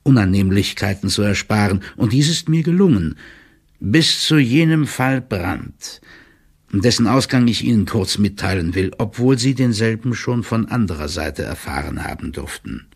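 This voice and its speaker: A man playing an older, loyal court clerk who delivers a confidential report in a formal, measured and officious manner, as in an audiobook drama. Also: on the low side, animated, gravelly, husky, heavily acted. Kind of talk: dramatised first-person reading of a formal written report.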